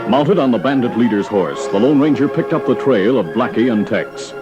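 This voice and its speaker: Male narrator for vintage radio western, mid-pitched, formal and dramatic, prominent music behind. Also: expressive, moderate pace, lo-fi sound. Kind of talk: scripted old-time radio narration.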